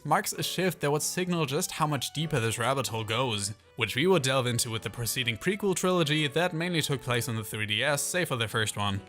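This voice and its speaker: A man delivering scripted video essay narration as a channel host, with animated, theatrical asides. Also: medium pitch.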